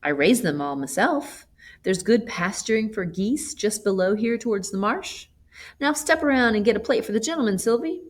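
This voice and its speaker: A woman's voice: medium pitch, expressive, normal speed, pitched above the performer's own voice, natural voice. A woman voicing a chatty, hospitable old country grandmother in a storybook, with a folksy, gossipy manner. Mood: gracious. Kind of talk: storybook character voice.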